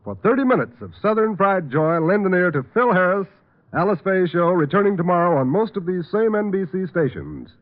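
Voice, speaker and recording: High-pitched vintage American radio announcer, male. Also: lively intonation, a little fast. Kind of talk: scripted 1940s radio announcing. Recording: dull old radio broadcast, music behind.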